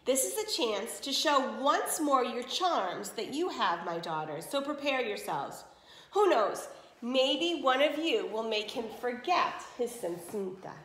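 A woman's voice: a little high, animated, a little slow, fairly bright tone, roomy sound, low-quality recording. A woman voicing a scheming, gushing mother in a storybook, with a commanding, showy manner. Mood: scheming, bossy. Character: scheming, bossy, gushing mother of two daughters.

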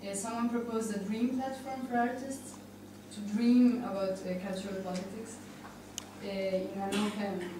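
A woman's voice: mid-range voice, fairly even intonation, moderate pace, mellow, recorded from a distance. Young woman in a noisy, echoey room. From Argentina. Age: young adult. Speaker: panel participant. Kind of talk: informal discussion. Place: indoor conference room. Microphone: handheld microphone.

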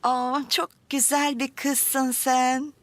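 A woman playing a kind, patient young mother in a children's cartoon, with a gentle, explaining way of talking. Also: high voice, flat delivery, slow, no room sound. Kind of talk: children's cartoon dub acting. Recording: music behind the voice.

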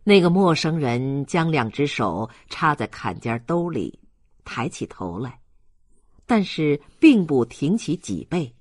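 A male audiobook narrator with a husky voice. He reads literary prose slowly and expressively, his pitch moving in a lively way. The sound is close and dry. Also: no echo.

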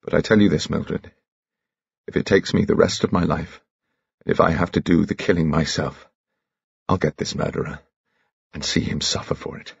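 Man playing a low-voiced grave gentleman detective. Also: fairly even intonation, normal speed, creaky, long pauses, slightly rough, no echo, muffled recording, exaggerated voice. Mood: grimly resolved. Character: grave, determined gentleman detective, vengeful friend.

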